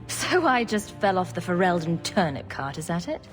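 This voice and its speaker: A woman's voice: lowish voice, very animated, normal speed, faint music behind. A woman playing a dry-witted, sceptical adventurer heroine in a video game, with a sarcastic way of talking. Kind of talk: video game character acting.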